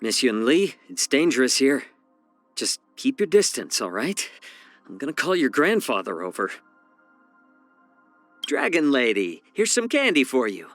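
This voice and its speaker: An actor playing a calm, wry, courteous male healer and strategist in a video game, with a measured, genteel, gently teasing delivery. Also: mid-range voice, no echo, soft background music. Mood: poised, encouraging. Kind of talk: scripted video game character voice lines.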